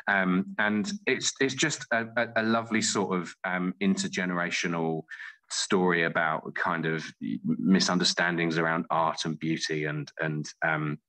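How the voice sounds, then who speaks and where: A man's voice: sits low, fairly even intonation, normal speed, pushed and bright. A middle-aged man chatting in an interview as a guest, often rephrasing as he thinks aloud. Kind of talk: casual interview talk.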